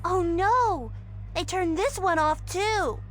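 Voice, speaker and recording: A woman's voice: extremely high pitch, expressive, slow pace, faint music behind, full character voice. A woman voicing an eager, bossy little girl in a video game, with a childlike manner. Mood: dismayed. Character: excited, bossy little girl playing space crew make-believe.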